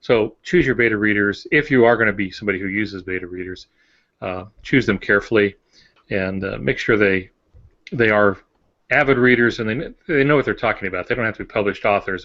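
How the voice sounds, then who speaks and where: An older man co-hosting a podcast, giving conversational advice and anecdotes in a measured, hesitant way. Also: fairly low pitch, a bit bright. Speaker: podcast co-host and writer. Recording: dull lo-fi audio.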